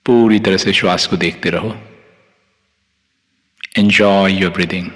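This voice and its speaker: A man with an Indian accent guiding a meditation as a teacher, calm, unhurried and soothing, leaving long pauses between phrases. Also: low-pitched. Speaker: meditation teacher, Reiki master guiding yoga nidra. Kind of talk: guided meditation, instructing listeners. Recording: long hall-like reverb on the voice.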